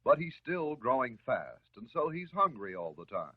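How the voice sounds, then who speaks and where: American man, 1950s educational film narration, phone-like vintage soundtrack, husky voice, lively intonation, measured and reassuring. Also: mid-range voice. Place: voice-over recorded for film. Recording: muffled, narrow-band 1950s film soundtrack.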